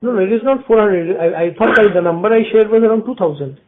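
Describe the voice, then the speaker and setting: Male, Indian accent, high voice, halting explanations, executive on earnings call, tinny phone line. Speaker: company executive answering analysts. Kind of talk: earnings call question and answer.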